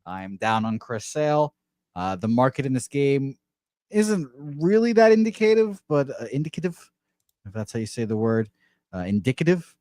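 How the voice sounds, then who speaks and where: A man's voice: medium pitch, big pitch swings, normal speed, a little mellow, dry recording. A man whose first language is not English, giving his betting picks casually, with lots of fillers and self-corrections. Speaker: betting analyst. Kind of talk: sports betting radio talk.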